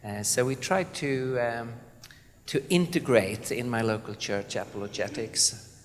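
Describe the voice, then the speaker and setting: A man from southern Sweden with a Swedish accent, introducing himself on a panel in an earnest, halting way with many fillers. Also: medium pitch, a little hiss or hum. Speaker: panelist, apologetics speaker. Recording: reverberant hall.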